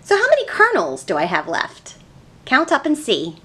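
A woman's voice: fairly high pitch, huge pitch swings, normal speed, sharp tone, slightly rough. A young woman hosting a children's storytime, explaining things simply in a sing-song way to small children.

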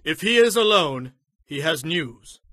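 A man's voice: fairly high pitch, lively intonation, unhurried, pushed and bright, dry recording, voice pushed up, full character voice. A man voicing a solemn, emotional king and anxious father in a dramatized scripture audiobook. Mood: hopeful.

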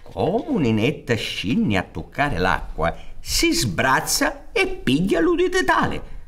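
A man in his sixties tells folk tales in a strong theatrical style with a Sicilian accent. He takes his time, drawing words out and repeating them, while his slightly high voice swings widely up and down. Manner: expressive, drawn-out, with repetitions for emphasis. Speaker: storyteller narrator. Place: theatre stage set up for radio recording. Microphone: large studio condenser on stand.

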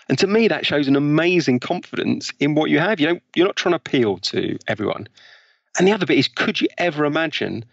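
A man's voice: mid-range voice, vocal fry, no room sound. Man, British accent, interview guest, conversational explainer, dry close sound, slightly muffled lo-fi audio. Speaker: interview guest, author on behavioral science.

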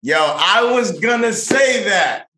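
A young man hosting a podcast who asks questions, reacts quickly and laughs along, speaking into a podcast mic with headphones. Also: high voice, lively intonation, bright, forceful tone, slight background noise. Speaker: podcast host. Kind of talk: casual interview chat.

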